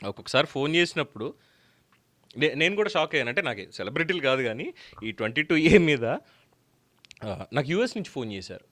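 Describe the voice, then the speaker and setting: A man's voice: fairly high pitch, sing-song, brisk, long pauses, bright, forceful tone, dry recording. A man hosting in a casual, chatty commentary style, dropping English words into his talk. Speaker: show host.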